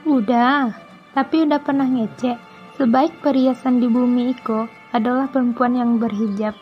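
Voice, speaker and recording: Woman voicing a high-pitched Minangkabau cartoon wife. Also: fairly even intonation, normal speed, muffled recording, faint music behind, natural voice. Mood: tender. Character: affectionate, devout young wife in a comedy cartoon. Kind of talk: comic cartoon dialogue.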